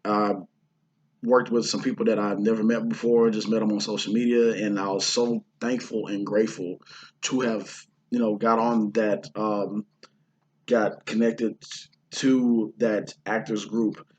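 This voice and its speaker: A hoarse man from the Houston area chatting casually about his indie film shoot, rambling with lots of fillers and restarts. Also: fairly low pitch, little pitch movement, measured pace, highs cut off, a little hiss or hum. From Houston, Texas area. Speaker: indie filmmaker and actor. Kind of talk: casual vlog talk.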